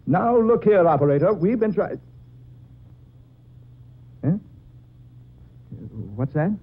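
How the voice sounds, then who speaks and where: Gallant young British gent, upper-class accent, theatrical, very lively pitch, husky edge, thin old radio sound. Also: male voice, slow, exaggerated voice. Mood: blustering. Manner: breezy, theatrical, affectedly chivalrous. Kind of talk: old-time radio drama acting. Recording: tinny old radio recording, muffled.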